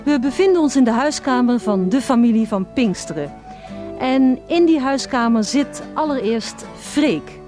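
An actress playing a schoolmarmish presenter, polite but bossy, narrating a satirical radio play. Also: female voice, high-pitched, lively intonation, unhurried, music in the background. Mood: courteous. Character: brisk, schoolmarmish female presenter, easily exasperated.

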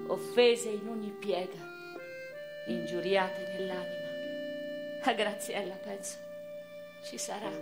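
A woman acting a proud, anguished eighteenth-century Neapolitan revolutionary facing execution, in a theatrical radio drama monologue with weighty, deliberate phrasing. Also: fairly low pitch. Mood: sorrowful, reflective. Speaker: stage and radio actor. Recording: violin music behind the voice.

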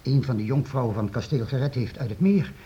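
An actor playing a timid, deferential castle servant in a period radio play, hesitant, evasive and stammering. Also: male voice, medium pitch, a little fast, slightly rough. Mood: wary. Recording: dull, noisy old radio recording.

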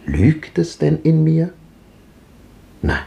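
Creaky, brooding old man. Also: fairly high pitch, big pitch swings, drawn-out words, dark tone, heavily acted. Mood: troubled, searching. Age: older. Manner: clipped, musing monologue in fragments. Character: restless, brooding old man recalling rough odd jobs. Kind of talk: 1950s German radio drama acting.

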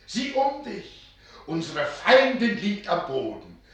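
An actor voicing a solemn fire spirit in the form of an old archivist, speaking slowly and gravely. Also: male voice, high voice, huge pitch swings, fairly bright tone, exaggerated voice. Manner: solemn, measured. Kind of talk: radio play acting. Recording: distant, echoing, with music.

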